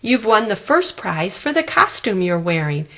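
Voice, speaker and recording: A woman's voice: medium pitch, very animated, moderate pace, a bit bright. A woman voicing a big, hairy, friendly male monster who is jovial and exclaims effusively, in a children's storybook. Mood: amazed, admiring.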